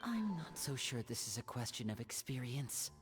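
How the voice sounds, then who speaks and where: A woman's voice: very deep voice, very animated, normal speed, music in the background. A woman voicing a polite, thoughtful young woman with a wry edge. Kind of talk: game cutscene voice acting.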